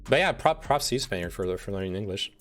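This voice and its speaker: A man's voice: lowish voice, animated, quick pace, fairly bright tone, faint music behind. A man livestreaming in a casual, sweary way, rambling and often restarting his sentences as he reads out statements and reacts to them. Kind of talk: casual chat, reading quiz statements and reacting.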